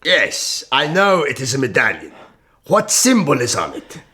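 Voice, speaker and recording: An actor playing a shrewd, imperious medieval sultan in a historical radio drama, measured and questioning. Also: male voice, medium pitch, huge pitch swings, sharp tone, full character voice. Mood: probing.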